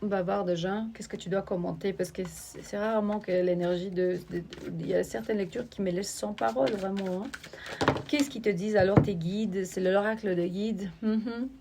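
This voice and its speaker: A woman giving a spiritual oracle card reading, talking straight to the viewer in a searching, halting way. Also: mid-range voice, fairly even intonation, mellow.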